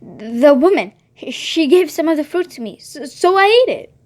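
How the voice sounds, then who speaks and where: A woman's voice: high-pitched, expressive, moderate pace, pitched above the performer's own voice. A woman reading the lines of the first man in a Bible story, ashamed and stammering.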